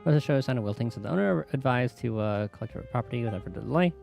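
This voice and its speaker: A man's voice: medium pitch, animated, racing, vocal fry, a little mellow, soft background music. A man streaming a game, chatting casually and reading the game's text aloud.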